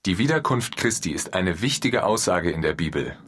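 An earnest believer with a low-pitched voice. He explains doctrine formally, like written text read out, with faint noise and clicks behind him. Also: very animated, normal speed, a bit bright.

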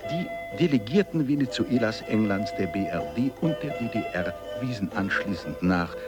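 An actor playing a composed, matter-of-fact congress reporter, giving formal commentary in a radio drama. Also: male voice, lowish voice, lively intonation, normal speed, music in the background, natural voice.

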